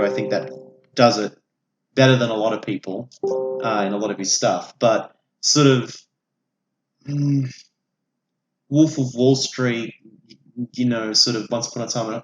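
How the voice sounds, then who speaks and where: A man, an opinionated podcast host who swears freely while casually discussing films. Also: medium pitch. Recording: echoey room, audible background noise.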